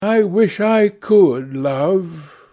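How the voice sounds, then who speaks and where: A man acts out a melancholy young gentleman from a literary audiobook. He is self-absorbed and anxious, speaking with earnest, plaintive politeness in a raised voice with some creak. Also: on the high side, lively intonation, unhurried, pitched above the performer's own voice, heavily acted. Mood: pained, weary.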